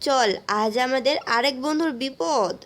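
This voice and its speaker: A woman voicing a high scolding cartoon cow. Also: a little slow. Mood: worried. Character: loyal cow friend from the herd, scolding. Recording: voice over loud music.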